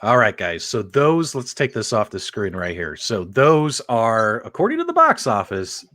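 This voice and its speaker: A man's voice: lowish voice, huge pitch swings, moderate pace, bright, forceful tone, no echo. A man hosting a live-streamed panel, chatting casually, joking and quizzing the others.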